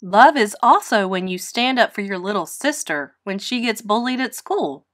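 A woman voicing a loving, patient mother pig, nurturing and expressive, in a storybook read-aloud. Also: mid-range voice, moderate pace, close to an everyday voice.

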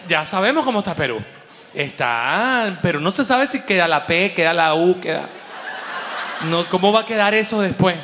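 A Venezuelan man doing animated, colloquial stand-up and audience banter on stage into a handheld mic, cursing freely. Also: sits high. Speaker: stand-up comedian and show host. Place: on stage in a dark theater with audience. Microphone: handheld microphone. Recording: echoey theater hall, audible background noise.

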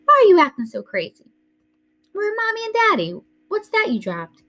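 A woman voicing a frightened little girl who scolds and threatens to tell on her brother, with a shrill, whiny voice. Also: huge pitch swings, moderate pace, sharp tone, soft background music, full character voice. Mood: scolding.

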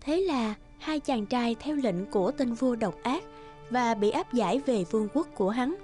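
Female narrator, high pitch, scripted fairy-tale storytelling, clear music bed. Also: animated, moderate pace, a little mellow. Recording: music behind the voice.

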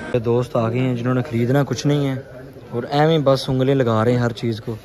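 A young man teasing his friends in relaxed, joking banter. He is caught on a phone inside a busy mall, with shoppers' chatter all around. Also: medium pitch, brisk. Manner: joking, teasing, mixes Punjabi phrases. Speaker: friend of the vlogger. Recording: phone audio with a crowd behind.

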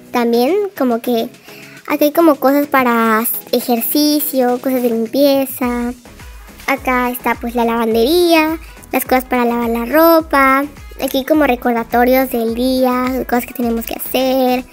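A woman giving a chatty, gushing voice-over tour of a game house, full of little fillers. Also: high-pitched.

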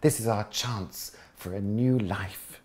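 Man doing a bossy female hyena, excited, coaxing, storybook reading, mellow low tone. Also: slow. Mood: eager. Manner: excited, coaxing, goes posh.

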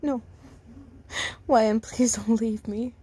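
A woman reader plays a young man in a romantic drama, tormented by guilt. The voice sits fairly high, and the delivery is intense and pleading. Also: steady tone, slow pace, mellow, breathy edge. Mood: desperate.

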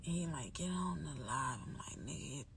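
A young woman with a soft, whispery voice, hoarse and nearly losing it, chatting casually on a phone livestream at night. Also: sits low, lively intonation, normal speed, noisy background. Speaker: livestream host. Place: dark room at night. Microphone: phone held close.

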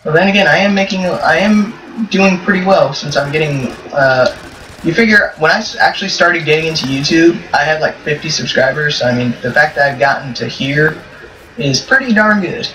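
Casting note: teen male gamer, joking banter, breaks into shouts, a bit off-mic with faint hiss. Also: on the high side, moderate pace. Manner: joking, bursts of loud shouting. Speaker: gaming YouTuber. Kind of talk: casual gameplay chat. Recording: distant mic with faint noise.

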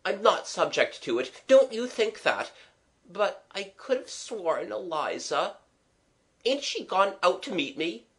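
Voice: medium pitch, big pitch swings, a little slow, fairly bright tone, pitched above the performer's own voice. A reader voicing a flustered working-class young man, gasping, agitated and apologetic, in a storybook. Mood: anxious, apologetic.

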